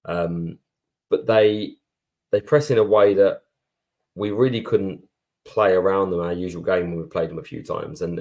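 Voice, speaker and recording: A man's voice: low voice, darkish tone. A man co-hosting a podcast, talking in a chatty, blunt and matey way. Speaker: podcast co-host. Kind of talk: casual chat.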